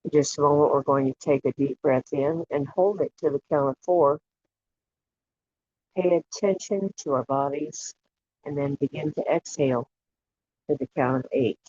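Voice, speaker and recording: A guided imagery facilitator leading a relaxation meditation in a soothing, unhurried way, leaving long pauses between short phrases. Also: mid-range voice, dark tone. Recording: dull lo-fi audio with clear background noise and clicks.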